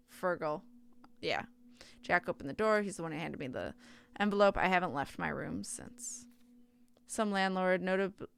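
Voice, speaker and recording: Woman streamer reading out a visual novel, smooth clean voice, trips on words and fixes them, chatty asides. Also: on the low side. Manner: self-interrupting, stumbles and corrects herself while reading. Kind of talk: reading game text aloud with casual stream chat.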